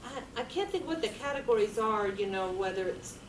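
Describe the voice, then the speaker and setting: A woman's voice: medium pitch, lively intonation, fairly quick, a little hiss or hum. A woman in a group discussion, rambling and thinking aloud, recorded across a meeting room. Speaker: group member. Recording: echoey room, distant.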